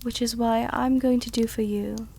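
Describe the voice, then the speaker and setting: A woman playing a seductive, protective vampire countess in an intimate ASMR roleplay, speaking tenderly and teasingly with a soothing, soft-dominant manner. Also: a little high, little pitch movement, normal speed, gravelly, a little hiss or hum. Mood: soothing, reassuring. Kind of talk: intimate ASMR roleplay, scripted character acting.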